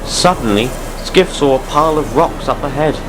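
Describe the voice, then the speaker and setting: A man's voice: fairly high pitch, moderate pace, noisy background. A man narrating a scripted adventure story for an amateur audio drama.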